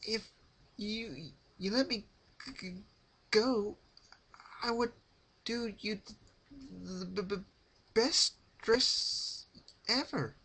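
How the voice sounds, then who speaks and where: Guy doing a scared posh girl pony, high breathy cartoon voice, stutters and gasps a lot. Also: takes it slowly, long pauses, sharp tone, heavily acted, rough recording. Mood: desperate pleading. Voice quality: raspy, breathy. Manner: stammering, gasping between words. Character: terrified, pleading, refined female cartoon pony.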